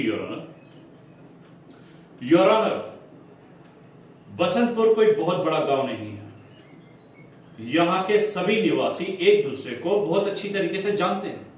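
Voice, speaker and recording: Casting: male actor as smooth courtroom defence advocate, fairly high-pitched, formal and insinuating stage delivery, heard distantly in a heavily echoing room. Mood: measured, deferential. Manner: formal, emphatic, with deliberate dramatic pauses. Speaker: acting student performing a monologue. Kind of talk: theatrical courtroom monologue in Hindi with English phrases. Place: indoor acting class room. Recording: echoey room, distant mic, faint noise.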